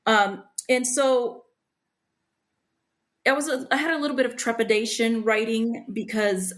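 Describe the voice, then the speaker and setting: A woman's voice: a little high, fairly even intonation, unhurried. A woman whose first language is Spanish, speaking candidly and plainly in a podcast interview. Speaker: book contributor.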